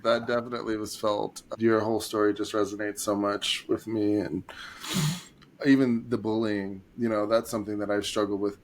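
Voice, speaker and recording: A man's voice: fairly low pitch, flat delivery, normal speed. A man hosting a podcast, responding to his guest with affirming remarks and short reactions. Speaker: podcast host. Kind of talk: casual podcast chat.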